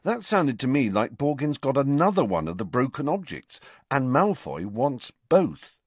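A man's voice: medium pitch, sing-song, normal speed, husky, dry recording, voice pushed up. A man voicing an earnest, stubborn teenage boy wizard in an audiobook, insistent and emphatic.

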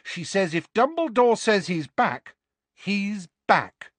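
A simple, earnest schoolboy as a man puts him on in an audiobook. The voice pipes up high and plain, loyal and sincere. It is recorded dry and close. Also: sing-song, takes it slowly, a bit bright, no echo, heavily acted. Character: simple, earnest, loyal teenage schoolboy who trusts his gran. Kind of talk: audiobook character voice.

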